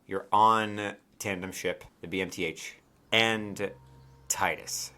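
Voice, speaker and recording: Bright-voiced man running a tabletop game. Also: sits low, fairly even intonation, slow. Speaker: game master and host. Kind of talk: casual tabletop game narration.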